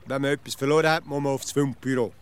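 An actor playing an absurdly logical, nagging everyday philosopher in a comic radio play, pedantic and insistent, with a Swiss accent. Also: male voice, mid-range voice, steady tone, fast talker, clear, resonant tone, close to an everyday voice.

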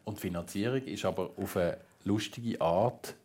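Husky-voiced Swiss male interview host. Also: low voice, moderate pace, a little mellow. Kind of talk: conversational interviewing.

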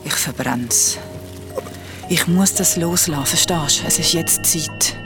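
A woman's voice: deep voice, a little slow, over loud music. Haunted Swiss German woman. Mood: resolute, subdued. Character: troubled woman confessing a haunting night train memory. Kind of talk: naturalistic audio drama dialogue.

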